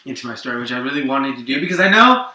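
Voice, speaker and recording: A man's voice: mid-range voice, sing-song, rapid-fire, pushed and bright, a little hiss or hum. A man chatting casually and eagerly as co-host of a comics show, in informal, opinionated fan talk. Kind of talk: casual unscripted chat. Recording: roomy echo, distant from the mic.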